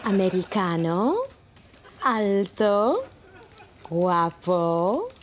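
An actress playing a playful young woman flatmate with a cold, in a slow, clear sitcom for learners. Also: medium pitch, a little hiss or hum. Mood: teasing. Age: young adult.

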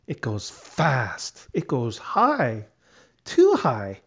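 A man reads a children's storybook as its narrator. His pace is slow and deliberate, and his mid-pitched voice moves expressively up and down. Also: huge pitch swings, gravelly, a bit bright, husky. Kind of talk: children's read-aloud narration.

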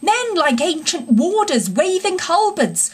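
A woman's voice: on the high side, normal speed, lightly acted. A woman voicing a stern but kind-hearted male engine driver in a storybook, earnest and plain-spoken. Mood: urgent alarm.

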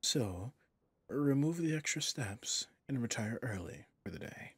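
A man playing a possessive villain boss in an intimate ASMR roleplay, speaking formally and commandingly yet indulgently, in a husky, breathy voice. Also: mid-range voice, lively intonation, a little slow, no echo. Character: smooth possessive male villain boss, doting on favorite servant.

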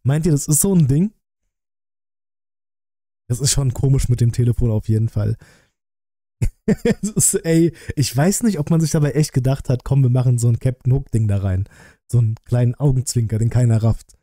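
A man gives casual live-stream commentary in a very mellow, relaxed voice. He sounds close to the microphone in a dry room, chatting directly to his viewers. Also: medium pitch, normal speed, pauses often, dry recording. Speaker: streamer reacting to a film review. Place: small room at a streaming setup. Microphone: close desk microphone, headset worn.

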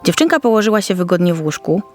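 A woman's voice: fairly low pitch, sing-song, quick pace, faint music behind. Woman narrating a children's fairy tale. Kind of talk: scripted children's story narration.

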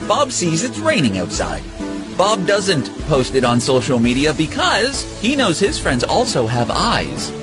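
A man narrates in a dry, sarcastic tone. He speaks slowly in a swooping, fairly high voice, with loud music behind. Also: huge pitch swings. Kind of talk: meme narration.

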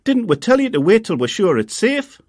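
A man voicing a worried, scolding mother who shouts at her son. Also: medium pitch, sing-song, moderate pace, no echo, clean studio sound, voice pushed up.

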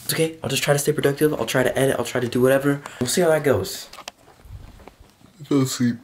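A young man talks casually into his camera for a vlog. His voice sits at an average pitch and his speech is slangy and informal. The recording carries some faint noise. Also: fairly even intonation, fast talker. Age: young adult. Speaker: vlogger. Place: rooms at home, car, outdoors.

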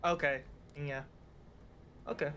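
A man's voice: fairly high pitch, fairly even intonation, slow pace, a bit bright, clear, resonant tone. A man co-commentating on game clips in casual chat. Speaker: reaction video co-commentator.

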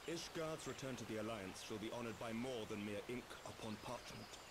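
A man's voice: medium pitch, a little fast, noisy background, close to an everyday voice. A man playing an earnest, courtly knight lord in a fantasy game, with formal, archaic, dignified speech. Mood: resolute. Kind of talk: scripted fantasy game acting. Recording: birdsong and outdoor ambience behind.

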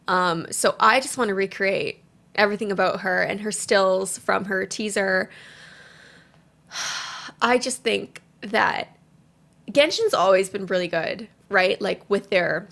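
A young woman giving casual, gushing and expressive reaction commentary as a gaming video host, recorded on a studio mic in a small home room. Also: medium pitch, vocal fry. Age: young adult. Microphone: boom-arm studio mic at desk.

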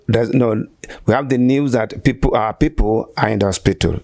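A man in his sixties with a Nigerian accent, giving halting, deliberate answers as a church shepherd in an interview. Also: medium pitch, darkish tone, no room sound, studio quality. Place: small furnished room indoors, on a sofa. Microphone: handheld interview microphone held by interviewer.

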